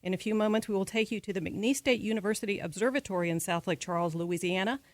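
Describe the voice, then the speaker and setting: A woman's voice: mid-range voice, fast talker, no room sound, close to an everyday voice. Woman playing a formal, composed radio announcer, dry sound. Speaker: studio announcer. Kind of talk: 1930s radio drama news announcing.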